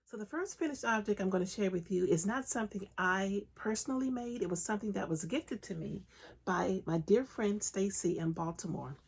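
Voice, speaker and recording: Raspy, congested woman podcast host. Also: mid-range voice, fairly quick. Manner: chatty, enthusiastic, frequent ums. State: raspy, congested voice after illness. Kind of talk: casual solo podcast chat.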